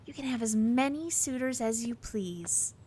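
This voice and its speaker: An actress playing a warm, chatty young palace worker with a romantic streak, eager and sympathetic, in an audio drama. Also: female voice, a little high, a little slow, a little hiss or hum, natural voice. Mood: encouraging, playful.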